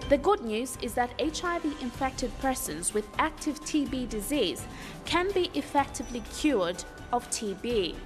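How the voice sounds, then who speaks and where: A woman reading scripted educational documentary narration in a formal, instructional manner. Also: fairly high pitch. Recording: reverberant sound under clear music. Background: background music.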